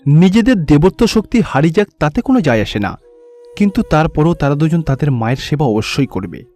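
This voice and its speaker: High-pitched male cartoon storyteller. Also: big pitch swings, brisk, mellow. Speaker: narrator. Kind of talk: scripted cartoon storytelling narration.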